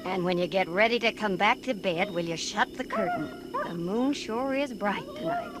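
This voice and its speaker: A woman's voice: mid-range voice, very animated, moderate pace, very noisy, natural voice. A woman playing a bossy, anxious farmer's wife in an audio drama, nagging and exclamatory. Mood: sleepy, nagging.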